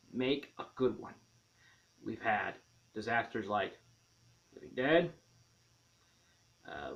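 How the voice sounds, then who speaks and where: A man hosting an enthusiastic movie review, leaning on key words with dramatic, emphatic stresses. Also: mid-range voice. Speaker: film reviewer and host. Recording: dull, lo-fi sound.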